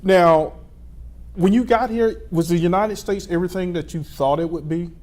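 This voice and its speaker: A middle-aged man hosting a local TV interview show, asking relaxed, friendly questions and filling pauses with "uh" and "um". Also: high voice. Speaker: TV show host.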